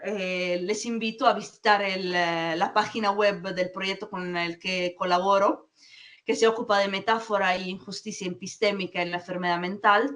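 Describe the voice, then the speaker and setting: Halting woman presenting her research. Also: medium pitch, fairly bright tone, recorded from a distance. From Peru. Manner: halting, many fillers and restarts. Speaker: academic presenter. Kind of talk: conference talk.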